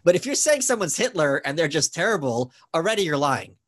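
A man in his thirties with a somewhat raised voice, hosting a casual interview over earbuds on a video call. He is lively and laughs easily, and his questions are pointed. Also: fairly high pitch, brisk. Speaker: host.

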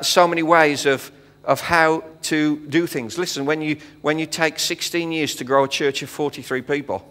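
A man's voice: on the high side, sharp tone. A middle-aged man preaching from a church stage in a chatty, joking way with frequent fillers. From England. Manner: conversational preaching with fillers and quips. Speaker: visiting pastor. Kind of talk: sermon. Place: church hall stage with audience. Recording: long hall reverb.

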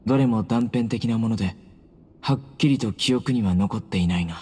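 A man's voice: lowish voice, darkish tone, natural voice. Solemn young warrior, voiced by a man. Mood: grave, resolute. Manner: measured, serious, formal and blunt. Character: solemn, resolute young male guardian warrior. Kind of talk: anime game voice acting.

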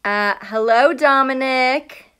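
Bright-voiced American woman chatting, unhurried. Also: sits high, smooth. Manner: chatty and animated, greeting viewers by name, asking questions. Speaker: elementary school teacher hosting a live read-aloud. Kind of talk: casual livestream chat with children. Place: small room at home, indoors. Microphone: phone or tablet at arm's length.

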